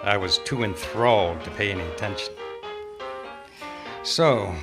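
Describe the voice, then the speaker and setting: Man playing a low-voiced hard-boiled private eye. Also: a little slow. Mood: world-weary. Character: hard-boiled male private eye, world-weary sucker for dames. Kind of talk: noir radio drama first-person narration. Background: loud music.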